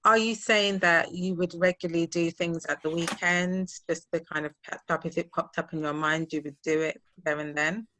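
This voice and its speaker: A woman's voice: on the low side, fairly even intonation, moderate pace, hardly any pauses, bright, forceful tone, no room sound, poor audio. A woman chatting casually as a podcast co-host, thinking aloud as she explains her own experiences step by step. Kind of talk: casual conversation, personal anecdotes.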